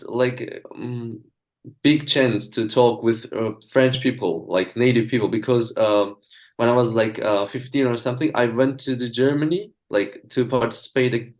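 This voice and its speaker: A young man from Turkey, a native speaker of Azerbaijani and Turkish, talking as an interview guest with hesitant pauses and fillers. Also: medium pitch. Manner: hesitant, many fillers and restarts. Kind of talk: casual video-call interview.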